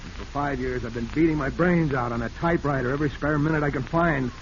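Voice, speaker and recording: A man's voice: mid-range voice, fast, close to an everyday voice. A man playing a bitter, struggling writer with an American accent, testy and emphatic in 1940s radio acting style. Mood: bitter, exasperated. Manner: testy, self-pitying, emphatic. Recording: muffled, noisy vintage radio recording.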